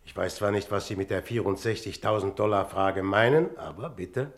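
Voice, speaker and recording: An actor in a radio drama playing a polite, probing man with a measured, guarded manner. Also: fairly low pitch, close to an everyday voice. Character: polite, probing man investigating his brother's death, Mr. Bristol.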